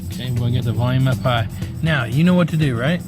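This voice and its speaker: Folksy man explaining things over loud music. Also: mid-range voice, fairly quick. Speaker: ham radio operator and mentor. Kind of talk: casual explanatory vlog talk.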